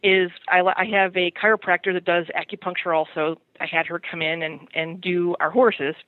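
A middle-aged woman chatting casually and telling personal anecdotes in an animated, self-deprecating way. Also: lowish voice, normal speed, no echo. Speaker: podcast segment guest on horse parenting. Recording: thin phone-like line.

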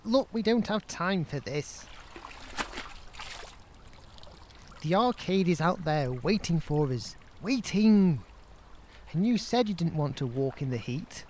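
A man plays a bossy, excitable young lad in an audio drama. His highish voice moves around a lot as he teases and dodges blame, with some background noise on the recording. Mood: breezy, evasive. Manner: teasing, quick to wriggle out of blame. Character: cheeky, bossy, excitable young male schoolmate on an outing.

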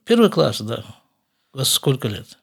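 A middle-aged man, a podcast host, calmly asking his grown son questions in an unhurried family conversation. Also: mid-range voice, creaky, husky.

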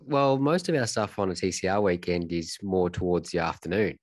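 Low nasal Australian man. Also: brisk, no room sound, clean studio sound. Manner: relaxed, easygoing, repeats himself. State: congested from a cold. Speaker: racing driver and podcast guest. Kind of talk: casual chat.

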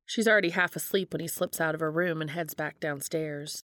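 A woman's voice: sits low, fairly quick, a bit bright, dry recording. A woman narrating fan fiction in an audiobook style, reading the story aloud.

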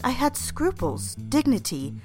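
Prim, earnest young secretary. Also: female voice, sits high, wildly swooping pitch, measured pace, vocal fry, music in the background, exaggerated voice. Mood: reflective, confiding. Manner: earnest, primly articulate. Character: proper, wounded young secretary in love with her boss, narrating. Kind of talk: dramatic scripted narration and dialogue.

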